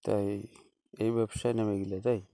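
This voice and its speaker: Casting: male actor as hesitant, courteous Bangladeshi ex-teacher on a phone call, warm timbre with some fry. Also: on the low side, level tone, no echo, natural voice. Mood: hesitant, explaining. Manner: hesitant, halting, with frequent small acknowledgements. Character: hesitant, polite unmarried former schoolteacher phoning an old schoolfriend. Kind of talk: staged phone-call audio story, conversational.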